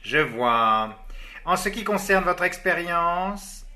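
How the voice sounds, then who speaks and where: An actor playing a polite, businesslike recruiter who conducts a job interview in formal, courteous tones, in a scripted learner dialogue. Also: male voice, high-pitched, very animated, slow, pushed and bright, heavily acted.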